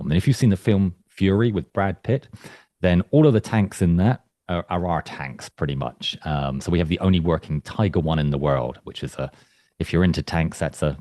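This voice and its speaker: A man's voice: extremely low pitch, dark tone, dry recording, clean studio sound. A man giving a chatty, self-deprecating presentation over a video call, often restarting his sentences. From England. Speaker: invited speaker, studio founder. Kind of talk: presentation to an online club.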